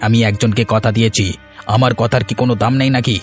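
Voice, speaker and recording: A man's voice: medium pitch, flat delivery, fast talker, faint music behind, natural voice. A man playing a menacing village bully. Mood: menacing, demanding. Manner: domineering, insistent. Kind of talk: Bengali cartoon villain acting.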